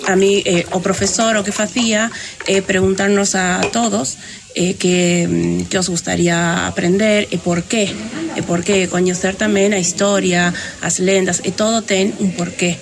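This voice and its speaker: Brief: woman from Argentina, gravelly voice, halting with fillers, outdoor terrace with crowd chatter. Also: mid-range voice, level tone. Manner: hesitant, many fillers. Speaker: language learner, Camino guide. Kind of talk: casual street interview.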